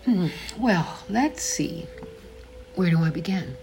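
An older woman reading her own faith memoir aloud, slowly and deliberately, pausing to gloss the names in scripture. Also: on the low side, animated, creaky, darkish tone. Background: background music.

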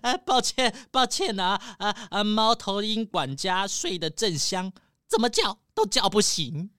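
A woman's voice: medium pitch, very animated, measured pace, fairly bright tone, clear, resonant tone, no room sound, studio quality. A woman playing a nervous, paranoid male squirrel tycoon in a children's audio detective story, stammering and exclaiming dramatically. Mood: flustered, apologetic.

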